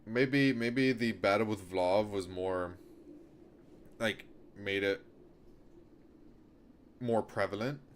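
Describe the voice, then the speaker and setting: Male game streamer, lower voice, reads visual novel text and chats, noticeable background noise. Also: slow, long pauses. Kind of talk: reading visual novel narration aloud with casual live commentary. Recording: clear background noise, rainy ambience. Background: noise, rain during a stream.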